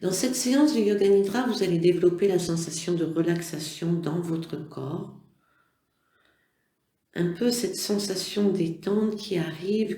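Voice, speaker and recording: A yoga nidra instructor guiding a relaxation meditation in gentle, measured phrases with pauses. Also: mid-range voice, reverberant. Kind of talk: slow guided relaxation meditation.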